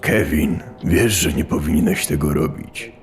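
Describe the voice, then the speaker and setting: A man's voice: a bass voice, expressive, unhurried, a little hiss or hum, exaggerated voice. A man playing a stern, sinister pastor in an audio horror story, speaking in a grave, admonishing way. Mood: stern, ominous. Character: sinister, stern male pastor from a drug hallucination.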